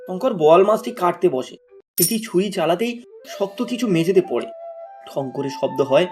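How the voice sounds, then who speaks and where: A man's voice: high voice, animated, normal speed, darkish tone, faint music behind. A man narrating a scripted moral story as a storybook narrator.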